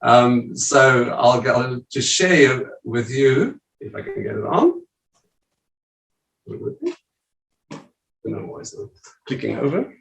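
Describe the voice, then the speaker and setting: A man's voice: medium pitch, big pitch swings, takes it slowly, creaky, long pauses, fairly bright tone, roomy sound, distant mic, poor audio. A South African man presenting to a church group over a video call, speaking hesitantly with many fillers. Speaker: visiting church leader presenting. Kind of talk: casual talk on a video call.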